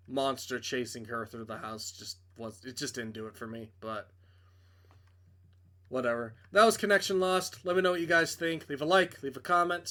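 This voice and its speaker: A man's voice: mid-range voice, sharp tone. A man hosting a game playthrough, reading a visual novel aloud and commenting casually. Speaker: game reviewer and host.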